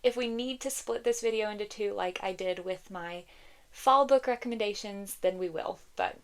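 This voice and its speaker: A woman talking casually about books she loves, a little congested and croaky from a cold, chatty and giggly with frequent ums. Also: medium pitch, moderate pace. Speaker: book vlogger. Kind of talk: casual book recommendations.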